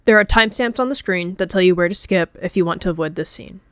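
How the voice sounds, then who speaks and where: A woman's voice: on the low side, quick pace, a bit bright, smooth, no echo, studio quality. A woman narrating a scripted roleplay story as narrator.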